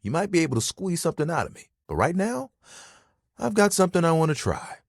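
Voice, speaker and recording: Casting: insecure, friendly towel character for a video game; very swooping, theatrical delivery, slightly husky, hesitant and heartfelt. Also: male voice, on the high side, fast talker, no echo, clean studio sound, heavily acted. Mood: warm, earnest. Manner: casual, earnest, hesitant, theatrically expressive. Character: living towel, easygoing, sensitive, insecure young male buddy.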